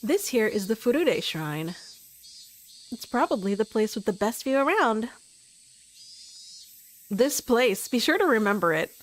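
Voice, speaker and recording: A woman's voice: on the high side, big pitch swings, a little slow, pauses often, a little hiss or hum. A woman voicing a confident, teasing tomboyish teenage girl with a breezy, bossy manner in a story game. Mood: assured.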